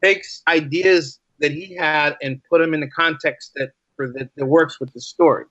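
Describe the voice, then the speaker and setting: A man chatting casually as a podcast guest, restating his phrases for emphasis in an animated way. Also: fairly high pitch, normal speed, no room sound, muffled recording. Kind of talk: casual interview chat.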